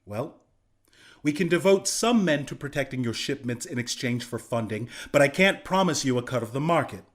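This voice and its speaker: An actor playing an earnest, friendly young rebel leader in a video game, speaking in a sincere, conversational way. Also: male voice, medium pitch, expressive, normal speed, close to an everyday voice. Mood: wary, negotiating. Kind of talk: video game character dialogue.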